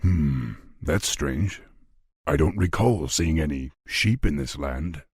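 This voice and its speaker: A man playing a stern, thoughtful young orc war chief in a fantasy video game, with a deep, gravelly, husky voice. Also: expressive, slow pace, dry recording, studio quality, exaggerated voice. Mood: pondering.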